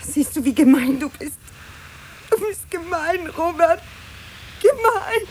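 Dreamy young actress, radio drama, melodramatic, swooping high voice, slow delivery. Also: female voice, a little hiss or hum, exaggerated voice. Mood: reproachful. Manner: melodramatic, expressive. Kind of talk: German radio drama acting.

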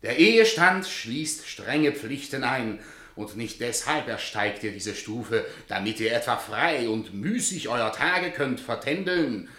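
An actor in a German radio play as a devoted, coaxing husband and theatre director, with a theatrical delivery that moves between declamation and murmur. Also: male voice, medium pitch, lively intonation, takes it slowly, bright, forceful tone. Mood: pompous, declaiming.

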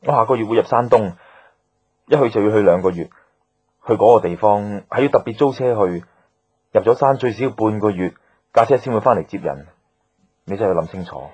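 Husky, practical Hong Kong doctor. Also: male voice, lowish voice, brisk, pauses often, a little mellow, muffled recording. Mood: calm, cautioning. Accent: Hong Kong Cantonese. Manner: matter-of-fact, cautioning. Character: modest, practical herb-gathering doctor. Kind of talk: naturalistic radio drama dialogue.

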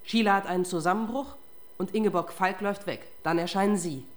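A woman's voice: medium pitch, brisk, lightly acted. An actress playing a tough, relentless female police detective in a German radio crime drama, confrontational and sardonic. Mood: accusing.

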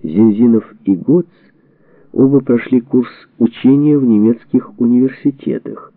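A man narrating a historical book from a script, with measured, deliberate phrasing and pauses. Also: medium pitch, no room sound. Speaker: audiobook narrator. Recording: band-limited, tinny phone-like sound.

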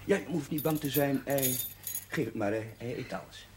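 An actor playing a gentle, easygoing village chaplain who speaks mildly. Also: male voice, medium pitch, moderate pace, noisy background, close to an everyday voice. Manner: mild, gentle, chuckling. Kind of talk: 1970s TV drama acting.

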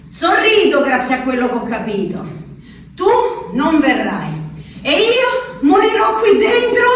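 A woman playing a high-voiced asylum inmate, echoey and distant. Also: slight background noise. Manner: slow, intimate, shifting between hushed and sing-song. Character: woman confined in an asylum writing to her husband. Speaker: stage actress performing a monologue. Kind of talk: theatrical monologue, letter to a husband. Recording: echoey, distant radio theatre recording.

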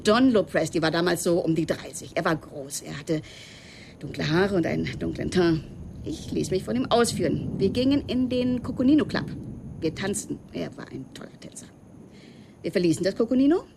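A creaky-voiced woman in a radio drama, a former landlady giving testimony. She is emotional and halting as she confides old memories, with faint noise around her. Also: fairly low pitch, animated. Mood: pained, agitated. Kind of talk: German radio drama acting.

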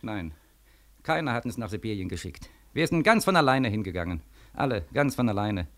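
A man's voice: on the low side, very animated, fairly quick, fairly bright tone. A man acting a bitter, desperate war returnee of twenty-five in a 1940s German radio drama, insistent and plaintive. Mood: desperate, accusing. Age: young adult. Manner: plaintive, insistent, with repeated phrases.

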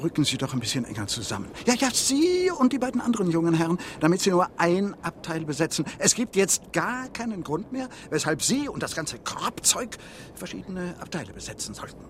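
An actor playing a fussy, officious train conductor in a radio play, admonishing in a formal manner. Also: male voice, high-pitched, big pitch swings, fairly quick, slight background noise. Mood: bossy. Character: officious, fussy male train conductor, bossy yet courteous. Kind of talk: literary radio play acting.

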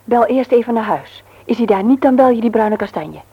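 Sensible kind wife in old radio play, breathy husky, dull sound. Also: female voice, fairly high pitch, expressive, brisk. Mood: concerned, practical. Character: considerate, practical married woman. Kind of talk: Dutch radio drama acting.

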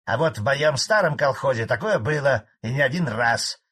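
A man voicing a spiteful old male collective farmer who rants gruffly and defiantly. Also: medium pitch, lively intonation, moderate pace, a bit bright, pitched above the performer's own voice. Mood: angry.

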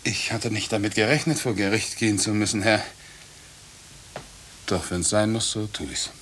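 An actor in a 1950s German radio play, playing a plain-spoken, stubborn and troubled villager with a halting, earnest delivery. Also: male voice, lowish voice, fairly even intonation, a bit bright, close to an everyday voice. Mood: defiant. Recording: hissy 1950s radio recording.

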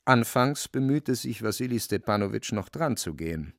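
A man's voice: lowish voice, animated, moderate pace, vocal fry, dry recording, studio quality. A man narrating a literary radio drama in a wry, dramatic storytelling manner.

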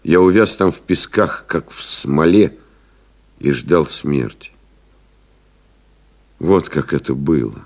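An actor playing a reflective pilot-writer, narrating memories in a measured, solemn, literary radio-play style. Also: male voice, extremely low pitch, animated, vocal fry, darkish tone, full character voice. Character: reflective male pilot-writer recalling friends and desert flights.

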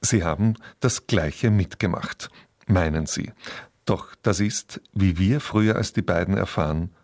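Low-voiced male radio announcer, very dry sound. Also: measured pace, darkish tone, no echo. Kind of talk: scripted narration. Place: dry studio.

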